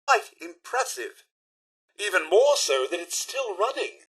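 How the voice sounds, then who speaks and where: An actor playing a prim, loyal and fussy robot butler in a video game, with a British accent and pompous, exaggeratedly polite diction. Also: male voice, sits high, sing-song, measured pace, a bit bright, breathy edge, exaggerated voice. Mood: wry, cheerful. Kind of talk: theatrical video game character acting.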